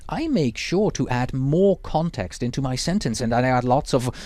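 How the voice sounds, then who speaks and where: A man whose first language is Swiss German, teaching a lecture in a measured, explanatory way with Swiss German accent. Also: mid-range voice, lively intonation, a little mellow, dry recording. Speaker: project management instructor.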